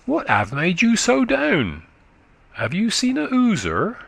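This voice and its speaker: A man's voice: high-pitched, huge pitch swings, slow, vocal fry, a bit bright, no room sound, pitched above the performer's own voice, full character voice. A man voicing a small chattering country boy who speaks in rustic dialect, piping and childlike. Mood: curious.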